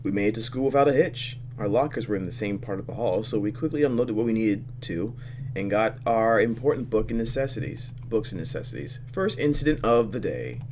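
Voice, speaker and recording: A man doing expressive let's-play commentary, reading story text aloud with sarcastic asides. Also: on the low side, a little fast, slight background noise. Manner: expressive, sarcastic asides between read lines. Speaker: let's player, channel host.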